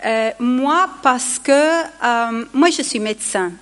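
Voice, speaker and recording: A woman's voice: fairly high pitch, slow, a bit bright. A woman in her forties, a palliative care doctor with an Italian accent, giving a conference talk at a podium microphone, hesitating as she searches for words in her second language. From Italy. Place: large conference hall. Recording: long hall reverb.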